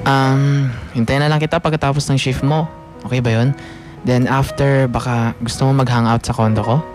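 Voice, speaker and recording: A man's voice: mid-range voice, steady tone, normal speed, darkish tone, natural voice. Man acting lovestruck young Filipino student, music underneath. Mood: subdued, coaxing. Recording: music clearly playing underneath. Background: background music.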